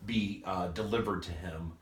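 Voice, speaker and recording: Lowish-voiced man in an echoey room. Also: fairly even intonation, normal speed. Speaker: comedy writer. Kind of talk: casual story discussion. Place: indoor room at a writing session. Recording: echoey room, faint background noise.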